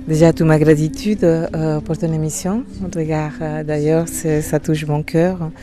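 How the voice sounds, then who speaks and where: A middle-aged woman from Colombia, speaking as an interview guest, hesitant with many pauses and fillers. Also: low voice, flat delivery, warm tone. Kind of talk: interview conversation.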